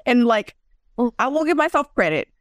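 A woman's voice: high-pitched, moderate pace, no room sound, clean studio sound. A young woman, a guest in a casual interview, telling stories and acting out what people said. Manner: animated storyteller, acts out quotes.

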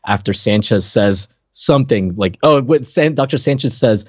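A man's voice: mid-range voice, lively intonation, moderate pace, husky, dry recording. A man co-hosting a podcast, chatting casually and retelling favorite moments in a loose, conversational way, often repeating himself as he explains. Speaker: podcast co-host.

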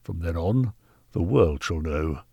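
A man's voice: low-pitched, expressive, measured pace, gravelly, slightly rough, dry recording, muffled recording, pitched below the performer's own voice, heavily acted. A man voicing a haughty, bullying Victorian knight in a storybook reading, pompous and scornful. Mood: cold, scornful. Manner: pompous, forceful, contemptuous. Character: haughty, callous, bullying Victorian gentleman knight, angry benefactor. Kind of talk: dramatised audiobook character reading.

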